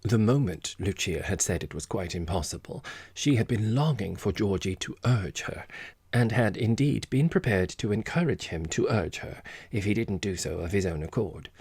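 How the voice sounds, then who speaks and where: A male audiobook narrator reads literary fiction. His voice sits fairly low and has a husky grain, recorded very dry. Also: animated, normal speed, a little mellow, dry recording.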